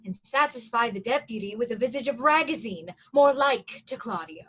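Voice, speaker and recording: Woman as an earnest high-voiced prison provost, lo-fi sound. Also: very animated, moderate pace, a bit bright, breathy edge, heavily acted. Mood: businesslike. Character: dutiful, anxious prison provost, plotting earnestly. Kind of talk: staged reading of Shakespearean verse.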